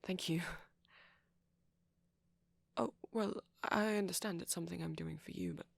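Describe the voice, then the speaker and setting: A performer playing a shy, caring vampire boyfriend in an intimate ASMR roleplay, speaking softly and breathily with hesitant pauses. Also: medium pitch, no echo. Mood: tender, hesitant. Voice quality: breathy, often near whisper. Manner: soft-spoken, breathy, halting with gentle pauses.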